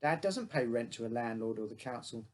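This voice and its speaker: A man's voice: medium pitch, expressive, brisk. A man reading his own short story aloud as a first-person narrator, in simple childlike phrasing.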